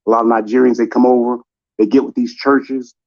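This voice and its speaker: An American man on a call-in stream rattles along quickly, leaning on a repeated conversational tag. His voice is average in pitch. The call audio is dull and lo-fi. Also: little pitch movement, darkish tone. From United States. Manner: fast, talky, repeats "you know what I'm saying". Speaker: caller.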